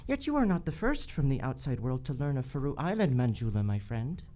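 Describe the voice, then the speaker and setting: A woman's voice: rumbling low, big pitch swings, brisk, dark tone. A woman playing a solemn, menacing jungle priest in a radio adventure, speaking in formal, grandiose phrases. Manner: formal, grandiose, refers to self in third person. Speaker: actor in live radio theater. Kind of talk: melodramatic radio adventure acting. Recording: live stage recording with faint music.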